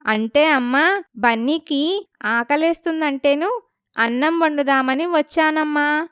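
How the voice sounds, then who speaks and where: A woman voicing an innocent, childlike little kid in an animated moral story. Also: high-pitched, takes it slowly, no room sound, studio quality. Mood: timid.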